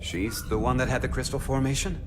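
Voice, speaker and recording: A man's voice: mid-range voice, fairly even intonation, brisk, a little hiss or hum, natural voice. A man playing a tense, worried starship officer in a video game. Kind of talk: video game dramatic acting. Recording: long hall reverb, loud game music.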